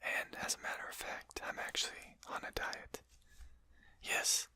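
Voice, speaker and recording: A performer voicing a courteous, composed vampire lord in a breathy whisper, speaking formally and politely for an ASMR roleplay. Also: close to an everyday voice. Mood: reassuring, genteel. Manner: polite, formal, unhurried hushed speech.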